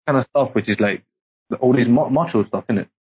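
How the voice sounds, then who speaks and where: A man's voice: mid-range voice, a little fast, husky. A young man who grew up in Singapore, talking casually with a British accent and rambling through many fillers. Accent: British English, code-switching from Singaporean. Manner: rambling, heavy use of filler words. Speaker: podcast guest. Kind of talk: casual chat. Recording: muffled lo-fi podcast audio.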